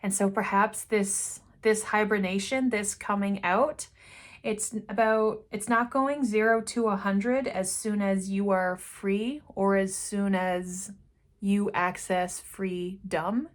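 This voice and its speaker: A woman giving an unscripted spiritual card reading to camera, meandering and often trailing off into quiet asides. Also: medium pitch, fairly even intonation, slow. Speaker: intuitive card reader.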